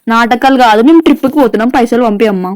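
Young woman on a prank call, fairly high voice, fast and insistent. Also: darkish tone. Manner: demanding, insistent. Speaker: family vlogger making a prank call. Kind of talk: casual phone chat.

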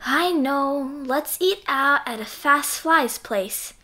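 A woman voicing an excitable, clumsy little boy frog from a storybook, eager and exclaiming. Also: high-pitched, slow, full character voice. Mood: chirpy.

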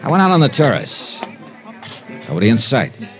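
An actor playing a wry, hard-boiled insurance investigator with a dry delivery in a 1950s radio crime drama. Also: male voice, medium pitch, sing-song, moderate pace, lightly acted. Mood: dry, tense. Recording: old 1950s radio recording with music.

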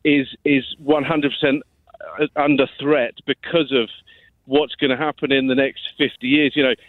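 A man's voice: mid-range voice, flat delivery, moderate pace, dry recording. A man calling a radio phone-in, talking earnestly and hesitantly, apologetic and often repeating himself. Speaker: phone-in caller. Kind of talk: casual, earnest phone-in conversation. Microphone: telephone. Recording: tinny narrow-band phone line.

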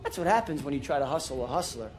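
An actor playing a cocky, wisecracking young American soldier in a war video game, with brash, teasing banter. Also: male voice, a little high, big pitch swings, rapid-fire, darkish tone, faint music behind. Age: young adult. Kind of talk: cinematic war game acting.

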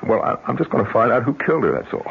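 Tough American widower, husky, halting yet forceful, muffled vintage radio drama. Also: male voice, medium pitch, very animated, full character voice. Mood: determined, angry. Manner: forceful, halting, rhetorical questions. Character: tough self-made businessman, grieving widower, bluntly defiant. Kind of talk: 1970s radio drama acting. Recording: muffled lo-fi 1970s radio broadcast.

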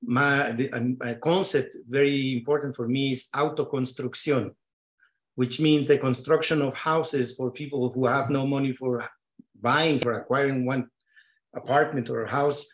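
A man's voice: medium pitch, fairly even intonation, moderate pace. A man whose first language is neither English nor French speaks earnestly as a panelist into a handheld mic on a stage, searching for words. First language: not English or French. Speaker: panelist, artist. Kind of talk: round-table discussion. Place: large dark stage with audience.

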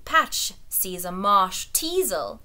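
A woman's voice: medium pitch, sing-song, slow pace, sharp tone, clear, resonant tone, exaggerated voice. A woman voicing a poor, tearful old shepherd who speaks in a rustic country dialect. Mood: earnest.

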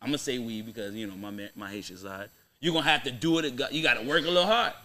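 A man's voice: mid-range voice, fast talker, pushed and bright. A young American man hosting a podcast, talking in casual, slangy, profane banter and arguing his points. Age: young adult. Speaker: podcast host. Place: small podcast studio, indoors. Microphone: close boom-arm microphone.

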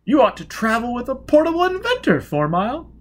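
A man voicing a gushing, scandalised high-society lady in a theatrical, exclaiming way for a story reading. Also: wildly swooping pitch, fairly quick, heavily acted. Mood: eager curiosity.

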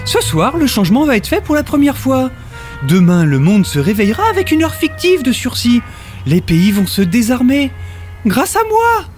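A man playing an anxious, excitable, self-satisfied husband in a comic audio drama, with an animated, flustered delivery. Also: very high-pitched, moderate pace, soft background music, voice pushed up. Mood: elated. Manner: animated, indignant, hesitant.

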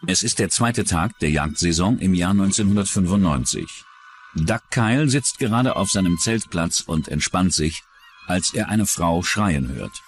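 A man narrates a true-crime documentary in a very low voice. His scripted delivery runs over faint music. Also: animated, measured pace.

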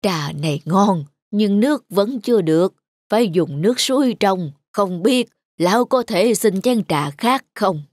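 A woman voicing a poor, humble old man who is a tea connoisseur in a cartoon folktale, speaking in a slow, courteous, old-fashioned way. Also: medium pitch, lively intonation, darkish tone, a little hiss or hum, natural voice. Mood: humble polite. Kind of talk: dubbed cartoon folktale acting.

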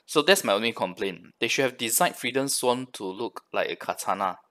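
A man's voice: medium pitch, expressive, fairly quick, pushed and bright. A man hosting a gaming reaction video, giving casual, analytical commentary in a conversational way.

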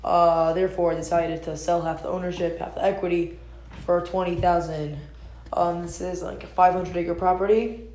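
A man's voice: high voice, flat delivery, moderate pace, hardly any pauses, a little mellow, a little hiss or hum, close to an everyday voice. A young man playing a rambling land seller, echoey room. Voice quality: hesitant, full of ums and uhs. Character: easygoing adult male landowner selling property. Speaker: actor in a school play. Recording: echoey room with music behind.

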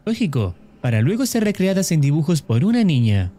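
A man delivers formal scripted narration for a video essay. His voice is mellow and a little high, with animated rises and falls, and he explains in a measured way.

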